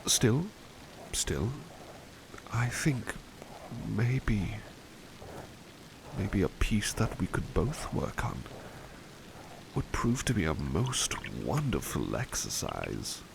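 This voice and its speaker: A man's voice: lowish voice, sing-song, very slow, long pauses, heavily acted. A man playing a devoted, mild-mannered butler in an ASMR roleplay, speaking politely and formally, with hesitant, musing phrasing. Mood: eager, hesitant. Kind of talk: ASMR roleplay, personal attention. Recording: clearly noisy ambience behind voice.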